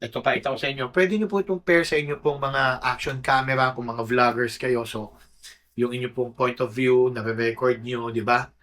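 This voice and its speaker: A man's voice: mid-range voice, steady tone, normal speed, a bit bright. A Filipino man giving a casual product review to camera, informal and often checking in with short tag questions. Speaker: motorcycle gear reviewer.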